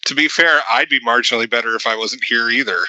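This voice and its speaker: A man's voice: mid-range voice, quick pace, bright, forceful tone, no room sound, poor audio. A man co-hosting a gaming podcast in casual banter, with dry, sarcastic jokes. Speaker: podcast co-host.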